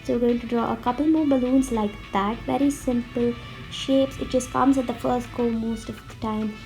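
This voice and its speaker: Friendly woman doing a drawing tutorial voiceover, kinda high voice, bit sick sounding. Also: normal speed, low-quality recording. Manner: friendly, relaxed, explaining as she draws. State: slightly sick voice. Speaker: art tutorial host. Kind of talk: step-by-step drawing tutorial voice-over. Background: faint background music.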